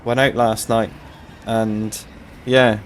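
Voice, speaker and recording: A man hosting a casual game walkthrough, his voice a little hoarse and tired, explaining things in a chatty, rambling way. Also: fairly low pitch, flat delivery, slow pace, slight background noise. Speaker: host of a gaming channel.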